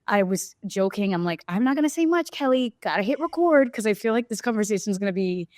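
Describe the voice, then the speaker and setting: A woman from Brazil speaks as a podcast guest in a reflective, candid way. Her voice is mid-pitched. The recording is dry and close, with no echo. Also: lively intonation, quick pace, darkish tone. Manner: reflective, open storytelling. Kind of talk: casual interview chat.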